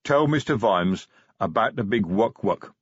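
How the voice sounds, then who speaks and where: A man voicing a big, blunt male troll sergeant who talks in broken grammar, defensive and forceful, in a dry, slightly dull recording. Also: fairly low pitch, expressive, measured pace, breathy edge, dry recording. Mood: explaining.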